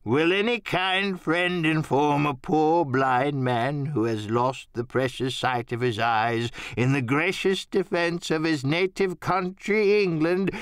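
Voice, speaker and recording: A man voicing a cruel old blind beggar in an audiobook radio play, soft-spoken and cold, with a slow, drawn-out, falsely wheedling sing-song. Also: on the high side, clean tone, no room sound, clean studio sound, pitched above the performer's own voice.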